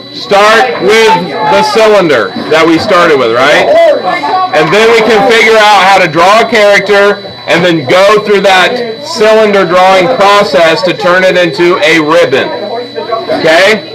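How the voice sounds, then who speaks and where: A man's voice: sits high, unhurried, a crowd in the background, rough recording. A man teaching a drawing class in an informal, encouraging way, instructing students as he demonstrates. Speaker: art teacher. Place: busy school classroom. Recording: classroom recording with students chattering behind.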